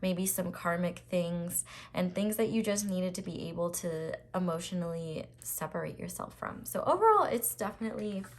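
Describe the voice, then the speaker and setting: A woman with a slightly raspy voice doing a casual, reassuring tarot reading to camera, with frequent ums and restarts. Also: fairly low pitch, animated, normal speed, hardly any pauses. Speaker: tarot reader.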